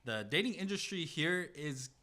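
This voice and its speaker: A man's voice: a little high, expressive, moderate pace, pushed and bright. A young man chatting casually and earnestly in slang as a podcast guest. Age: young adult. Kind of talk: casual chat.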